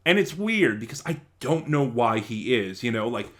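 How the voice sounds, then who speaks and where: A man giving casual review commentary as an online reviewer, in a halting way, often rephrasing mid-sentence. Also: medium pitch, very animated.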